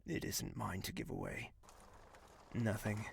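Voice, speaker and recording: An actor playing a remorseful, lovesick young nobleman in an audio drama, with a grave, earnest delivery and a gravelly voice. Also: fairly low pitch, measured pace, a little hiss or hum. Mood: somber, guilty.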